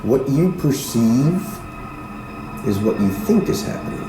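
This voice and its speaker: A man playing a patient but firm small-town police chief who questions insistently and with measured pauses, in a naturalistic fiction podcast. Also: medium pitch, lively intonation, close to an everyday voice. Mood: probing. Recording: roomy echo, music underneath.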